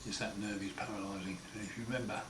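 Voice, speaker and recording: A man with a croaky, hoarse voice after throat surgery, talking slowly and wryly in a casual home video diary. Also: fairly low pitch, fairly even intonation. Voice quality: hoarse, partly breathy. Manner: slow, wry, plain-spoken, often pausing. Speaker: patient sharing his recovery. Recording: roomy echo, far mic, noisy.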